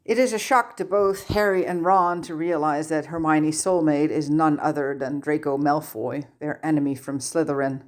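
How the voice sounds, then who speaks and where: Story narrator with a mid-pitched voice. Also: steady tone, moderate pace, few pauses. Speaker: podfic narrator.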